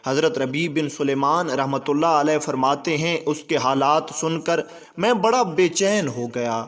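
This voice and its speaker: A man with a fairly high voice reads a religious tale as a storyteller. His delivery is scripted narration, with formal, literary wording and a devout turn of phrase. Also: unhurried, fairly bright tone.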